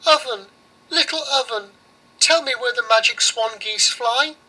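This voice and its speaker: Man voicing a high, bright, fussy storybook girl. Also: unhurried, exaggerated voice, rough recording. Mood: pleading. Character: young girl, fussy, searching, innocent. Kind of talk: storybook character voice.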